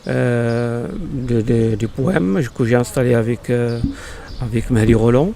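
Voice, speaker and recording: A man in his fifties from Morocco, whose first language is Arabic, speaking slowly in an interview with many pauses and fillers. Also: mid-range voice, gravelly, warm tone. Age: 50s. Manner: halting, many fillers and restarts. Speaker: interviewed poet. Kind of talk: hesitant conversational interview. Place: local podcast studio.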